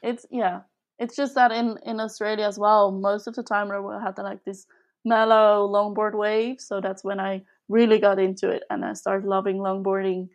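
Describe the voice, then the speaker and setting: A woman's voice: mid-range voice, level tone, moderate pace. Young woman from Switzerland, chatting casually. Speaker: podcast guest. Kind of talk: casual conversational interview.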